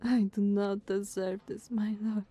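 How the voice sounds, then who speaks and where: Woman acting a soft, slow-spoken devoted lady knight. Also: medium pitch, flat delivery. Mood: tearful guilt. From Slavic country. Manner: hesitant, breathy, formal archaic phrasing. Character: devoted female knight, anxious, formal, tender, guilt-ridden. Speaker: audio roleplay performer. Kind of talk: ASMR roleplay acting.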